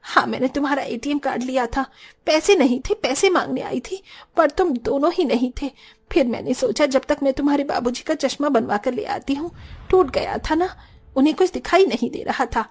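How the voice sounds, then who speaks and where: A woman voicing a gentle, long-suffering mother in her fifties in a Hindi cartoon story. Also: high-pitched, normal speed. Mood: hurt, pleading. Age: 50s. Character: gentle, long-suffering fifty-year-old well-off mother.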